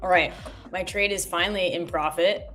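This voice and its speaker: A woman's voice: on the low side, fairly even intonation, normal speed, fairly bright tone. A woman in her thirties co-hosting a live trading stream, chatting casually and answering viewer comments outspokenly. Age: 30s. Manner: outspoken, answers chat directly. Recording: faint music underneath.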